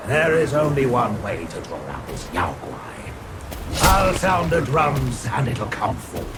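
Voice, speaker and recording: A proud demon lord who lays out his plan in slow, measured, self-assured tones. His voice sits a little high and moves with lively pitch. Heavy noise sits under the game audio. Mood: scheming. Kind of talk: video game cutscene acting.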